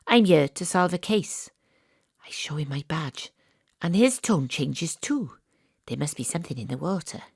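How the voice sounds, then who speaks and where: A world-weary female detective in an audio drama. Her voice sits a little low and is dryly recorded. She narrates with wry, deadpan noir delivery, like someone who has seen it all. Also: normal speed, creaky, breathy edge, no room sound, heavily acted. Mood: deadpan, observant. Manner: deadpan, dry. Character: female private detective, world-weary, wry, hard-boiled ex-rugby star.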